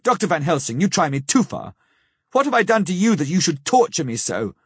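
A man's voice: a little high, fairly even intonation, a little fast, dark tone, breathy edge, dry recording, clean studio sound. A man voicing a young nobleman who speaks with indignant, outraged passion in an audiobook. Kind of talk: audiobook character reading.

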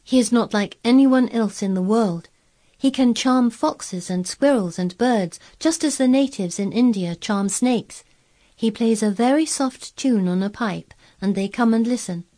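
A woman's voice: a little high, a little slow, warm tone, pitched above the performer's own voice. A woman voicing a frank, strong-willed, earnest young girl in storybook dialogue. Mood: admiring. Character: frank, strong-willed, curious ten-year-old girl.

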